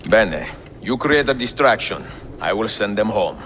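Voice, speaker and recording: An actor playing a grave, seasoned Italian master assassin in a video game, speaking with an Italian accent in a measured, formal manner. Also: male voice, lowish voice, very animated, a bit bright, slight background noise. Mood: resolute. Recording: music behind the voice.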